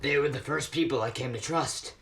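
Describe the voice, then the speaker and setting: A man's voice: medium pitch, brisk, creaky, noisy background, lightly acted. A man playing a grumpy, haunted miser cat with theatrical bluster in an amateur radio play. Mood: sorrowful, bitter.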